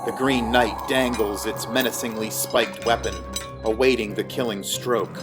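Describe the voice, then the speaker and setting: A man reading scripted fantasy narration in a measured storytelling manner. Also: fairly low pitch, fairly even intonation, over music.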